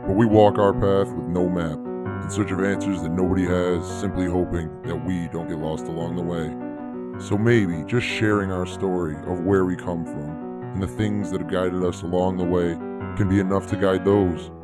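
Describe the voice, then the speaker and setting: Deep solemn narrator over loud piano. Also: male voice, steady tone. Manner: slow, measured and solemn. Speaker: podcast host doing the show intro. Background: loud piano music.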